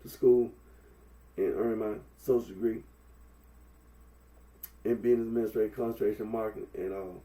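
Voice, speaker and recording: A young man from with a head cold, talking through a face mask in a rambling, halting monologue full of fillers. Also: lowish voice, steady tone, dark tone. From Memphis, Tennessee. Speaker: vlogger. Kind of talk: casual Facebook Live monologue. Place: indoors at home. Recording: echoey room, mic at a distance.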